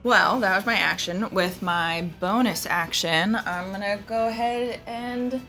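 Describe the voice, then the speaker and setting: A woman's voice: medium pitch, unhurried, sharp tone. A woman playing a tabletop role-playing game, talking casually through her moves. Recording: background music under the voice.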